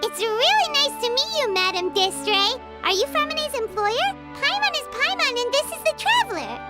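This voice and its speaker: A tiny, excitable fairy companion from a video game. The voice is extremely high and very animated, with a bubbly, childlike chatter. Loud music plays underneath. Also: female voice, normal speed. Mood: cheerful, friendly. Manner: bubbly, exclaiming, refers to self in third person. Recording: music behind the voice.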